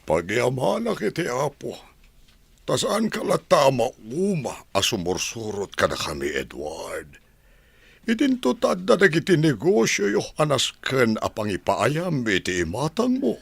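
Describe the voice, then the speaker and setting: A gentle parish priest in a radio drama. His voice is gravelly and very husky, with widely varied intonation over soft music. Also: medium pitch, huge pitch swings, unhurried, dry recording, full character voice. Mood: grateful, solemn. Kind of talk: Ilocano radio drama acting.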